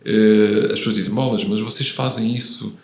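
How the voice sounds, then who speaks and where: A man's voice: on the low side, fairly even intonation, moderate pace, gravelly, darkish tone, echoey room. A man in his fifties, born in Italy, talking thoughtfully as a podcast guest, with frequent hesitations and restarts. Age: 50s. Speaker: guest, real estate professional. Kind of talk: relaxed interview conversation.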